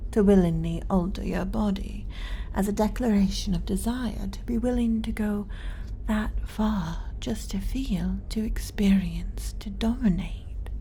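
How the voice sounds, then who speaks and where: A woman playing a fascinated, flirtatious researcher of alien cultures in a whispered ASMR sci-fi roleplay, speaking softly and intimately. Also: medium pitch, a little hiss or hum, natural voice, poor audio. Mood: fascinated, intense. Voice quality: soft, breathy whisper. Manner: intimate, musing, hushed.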